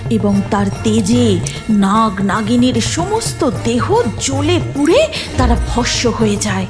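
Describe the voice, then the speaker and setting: A woman's voice: medium pitch, a little mellow. A woman narrating a scripted cartoon story as its storyteller.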